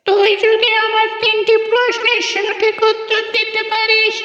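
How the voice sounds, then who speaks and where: A woman's voice: extremely high pitch, level tone, measured pace, sharp tone, exaggerated voice. A woman voicing a frightening, taunting man-eating witch in a cartoon. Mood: menacing, testing. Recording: reverberant hall echo, dull, music behind.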